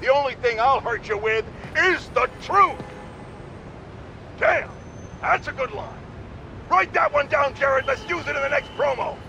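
A man's voice: very high-pitched, a little slow, long pauses, heavily acted. An actor playing an irascible, self-important male radio pundit in a video game, bombastic and sarcastic as he rants to his listeners. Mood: smug. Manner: bombastic, sarcastic, exaggerated indignation. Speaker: radio talk show host. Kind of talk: opinionated radio rant. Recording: clear background city noise from gameplay.

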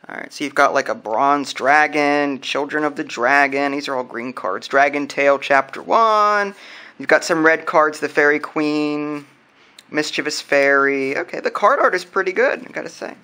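Bright-voiced man, casual unboxing commentary. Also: fairly high pitch, normal speed, smooth. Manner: informal, thinking aloud while handling items. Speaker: host of a board game unboxing channel. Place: indoors at a wooden table.

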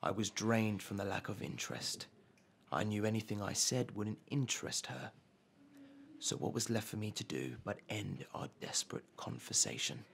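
Man acting a low, gravelly, nervous lovelorn artist. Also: expressive, a bit bright, a little hiss or hum. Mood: brooding, tense. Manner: hesitant, halting, awkwardly polite. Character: nervous, obsessive lovelorn male artist narrating his longing. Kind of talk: dramatic monologue and phone dialogue.

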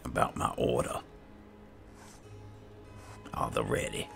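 A man casually narrating roleplay gameplay in character, muttering in a low-key way and trailing off. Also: takes it slowly, fairly bright tone, faint music behind. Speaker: gamer playing in character.

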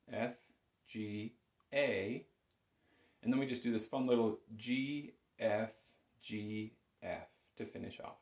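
A man's voice: on the low side, darkish tone. A man giving a casual, informal music lesson as an instructor, thinking aloud as he goes. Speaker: music instructor, bagpipe teacher. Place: small home room with shelves, indoors. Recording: roomy, echoing home recording.

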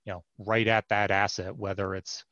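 A man's voice: on the low side, little pitch movement, moderate pace, bright, forceful tone, no room sound. A man in his thirties, a product manager, answering interview questions over a video call in a thoughtful way with many fillers and restarts. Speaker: software product manager, guest. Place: home office with bookshelves. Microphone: headset mic on video call. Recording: dull dry headset audio.

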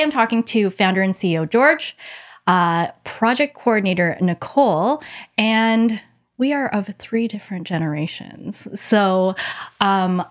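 Lively young woman hosting a podcast. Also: mid-range voice. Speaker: podcast host. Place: small cozy studio room, indoors. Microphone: boom-mounted studio mic.

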